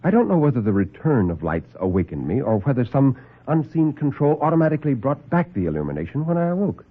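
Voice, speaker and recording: A man narrating a first-person horror tale as a classic radio storyteller, theatrical and suspenseful, with deliberate pauses. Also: medium pitch, big pitch swings, a little hiss or hum. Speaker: storyteller and actor. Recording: muffled old radio broadcast recording.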